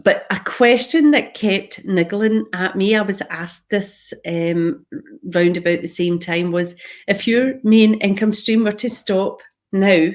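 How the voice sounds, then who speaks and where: A woman's voice: mid-range voice, slow. Chatty middle-aged Glaswegian woman. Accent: Glaswegian Scottish. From Scotland, Glasgow. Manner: chatty, goes on tangents, addresses viewers directly. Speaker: public speaker. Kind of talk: live-streamed personal talk to viewers.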